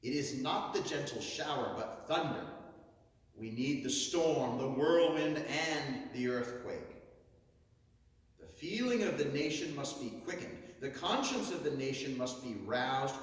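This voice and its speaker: A man's voice: medium pitch, expressive. Man declaiming in a very echoey hall. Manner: declamatory, emphatic, rhetorical, with dramatic pauses. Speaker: orator reciting a historic speech. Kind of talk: theatrical 19th-century abolitionist oration. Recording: very echoey hall, distant from mic.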